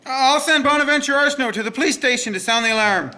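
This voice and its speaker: A woman playing a brisk hotel clerk who takes charge in a radio drama. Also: high voice, loud music behind the voice, natural voice. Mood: urgent. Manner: brisk, directing.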